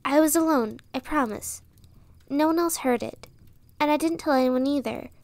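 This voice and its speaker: A woman's voice: high voice, normal speed, a little mellow, dry recording. A woman playing a brave, pleading young commoner girl in a fantasy audio drama, speaking earnestly. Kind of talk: fantasy audio roleplay acting.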